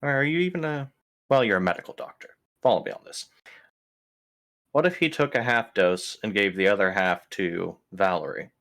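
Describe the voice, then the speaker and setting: A man's voice: on the low side, normal speed, a bit bright. A man playing a skeptical crew member in a tabletop sci-fi roleplay, questioning in a pointed, informal way. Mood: insistent, skeptical. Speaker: role-player in a sci-fi horror game. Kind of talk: improvised in-character tabletop roleplay.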